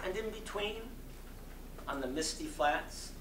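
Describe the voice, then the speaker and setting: A man delivers a sermon as a dramatic reading in a bright, forceful voice. His phrasing is very slow and deliberate, with impassioned oratorical emphasis. He is recorded from a distance in an echoey hall. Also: a little high, lively intonation. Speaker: reader of a sermon. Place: community center hall. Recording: distant mic in echoey room, faint noise.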